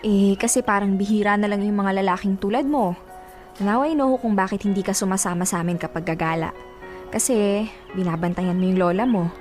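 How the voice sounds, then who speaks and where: A woman's voice: medium pitch, fairly quick, darkish tone, lightly acted. A breezy young Filipina office worker played by an actress in a radio drama, friendly, playful and insistent. Her voice is mid-range, set over background music. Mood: admiring.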